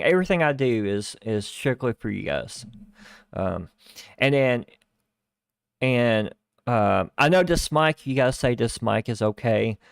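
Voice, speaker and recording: A man hosting a live stream in casual chat, rambling with lots of fillers and restarts, on a starter microphone that lacks bass. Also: creaky, dry recording, studio quality. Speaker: livestream host. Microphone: starter desk microphone lacking bass.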